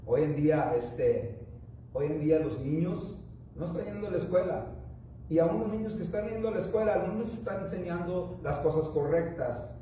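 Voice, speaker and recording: A man's voice: fairly high pitch, mellow, very echoey, recorded from a distance, a little hiss or hum, rough recording. A man preaching a sermon, a native English speaker who halts and restarts often as he speaks. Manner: rambling, halting with many restarts and fillers. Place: church.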